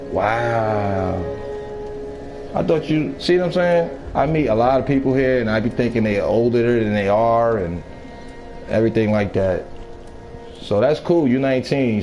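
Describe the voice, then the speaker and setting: A man in his thirties chatting casually with viewers while gaming, laid-back, talkative and quick to laugh. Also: mid-range voice. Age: 30s. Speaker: gaming streamer. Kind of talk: casual live-stream chat while gaming.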